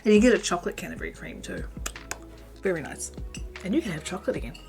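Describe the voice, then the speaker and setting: A woman's voice: on the low side, wildly swooping pitch, quick pace, over loud music. Chatty woman from New Zealand. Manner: chatty, rambling, reads out and answers chat; muttering stitch counts under her breath. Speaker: crochet livestream host. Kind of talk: casual chat while crocheting.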